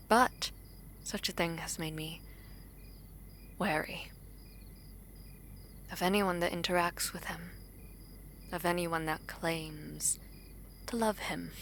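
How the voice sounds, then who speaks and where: A woman's voice: fairly low pitch, steady tone, slow pace, pauses often, a bit bright, slight background noise. A woman playing a guarded, protective royal in an audio drama, with a grave, stately and formal delivery. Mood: wary, probing. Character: guarded, regal imperial sibling, protective of a younger brother.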